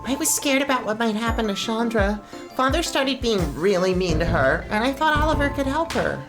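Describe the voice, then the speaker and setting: A woman performs a frightened young girl from a mystery game. The voice sits very high and sounds timid and hesitant, as if pleading not to be blamed. Faint music plays underneath. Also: expressive, moderate pace. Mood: timid, worried.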